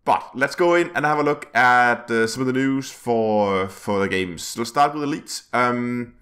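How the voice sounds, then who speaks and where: A man hosting his gaming channel's weekly news vlog, his voice a little rough, rambling with many fillers and restarts. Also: mid-range voice, a little slow, a bit bright. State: slightly rough voice.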